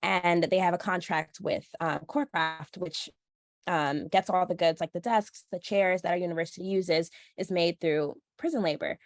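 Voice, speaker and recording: A young woman with a stuffy, nasal voice from a cold, pitched a little low for a woman. She speaks earnestly with plenty of ums and likes, and the sound is close and dry. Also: steady tone, normal speed, no echo. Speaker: panelist, social news reporter. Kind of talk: panel discussion answer.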